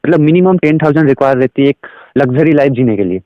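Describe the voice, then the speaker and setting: A man with an Indian accent giving an explanatory sales pitch over a recorded phone call, asking questions and mixing in English phrases. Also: expressive, fast talker, dry recording. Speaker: direct selling recruiter.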